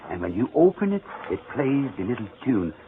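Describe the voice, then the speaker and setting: An actor playing a kindly, apologetic old shopkeeper with a German accent in a 1940s radio drama. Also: male voice, medium pitch, sing-song, fairly quick, close to an everyday voice. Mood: friendly, eager. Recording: scratchy, narrow-band old radio recording.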